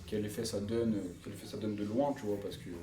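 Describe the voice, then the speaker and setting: A man's voice: lowish voice, fairly even intonation, quick pace, mellow, echoey room. A man who works as a tattoo artist, talking casually and loosely, rambling and checking in with the listener often. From Montreuil. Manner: loose, rambling, frequent "tu vois". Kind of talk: casual podcast chat.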